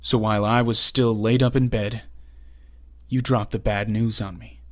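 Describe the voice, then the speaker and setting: A man's voice: fairly low pitch, steady tone, moderate pace, lightly acted. A man playing a bitter, earnest young former sidekick, delivering a reproachful dramatic monologue in a comic dub. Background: music.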